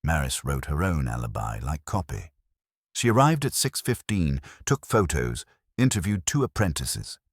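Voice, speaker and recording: A man reading a first-person detective mystery as an audiobook narrator, with wry, measured storytelling. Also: rumbling low, big pitch swings, husky, dry recording, clean studio sound.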